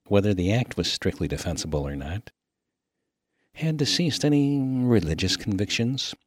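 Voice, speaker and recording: A man's voice: fairly low pitch, sing-song, moderate pace, no room sound, clean studio sound. A man voicing a refined, mild and earnest clergyman, formal and wordy, in a humorous story. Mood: solemn, inquiring. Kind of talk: dramatic reading of a humorous story.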